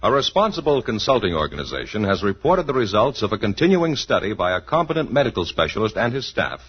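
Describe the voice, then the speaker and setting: A man's voice: on the low side, husky. A man with an American accent formally and emphatically reading a scripted 1950s radio advertisement. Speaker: radio announcer. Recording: muffled vintage radio recording.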